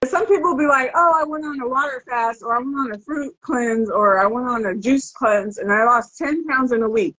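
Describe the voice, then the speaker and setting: A woman's voice: a little high, brisk, few pauses, pushed and bright, breathy edge. A middle-aged American woman hosting a casual livestream Q&A in an informal, playful way. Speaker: host. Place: living room at home, video call. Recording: dull, muffled video-call audio.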